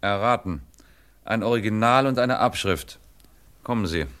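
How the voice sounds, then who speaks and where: An actor playing a methodical, probing police commissioner in a German radio crime drama, measured and wryly superior. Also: male voice, on the low side, a bit bright, clean tone, natural voice.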